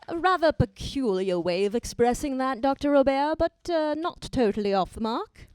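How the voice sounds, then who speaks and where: A woman acting as a scholarly, slightly scattered lady professor in a radio play. Her voice is high and swoops freely, with pedantic phrasing broken by hesitations. The sound is close and dry. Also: animated, darkish tone, smooth, dry recording. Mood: musing, pedantic. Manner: halting, pedantic, many uhs. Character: brainy, absent-minded woman professor, adjunct to the multiverse. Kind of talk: scripted audio drama acting.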